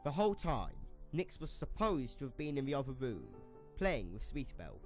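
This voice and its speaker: A man narrating a scripted story as an expressive storyteller for an audio drama. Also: mid-range voice, moderate pace, soft background music.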